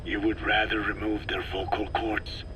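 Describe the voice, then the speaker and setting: An actor playing a grave, cryptic old scientist in a video game, with a breathy, raspy voice and formal, deliberate phrasing. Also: male voice, on the low side, completely flat delivery, sharp tone. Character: frail, ancient scientist, grave and cryptic elder. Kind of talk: dramatic video game voice acting, expository. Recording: clearly noisy taped field recording.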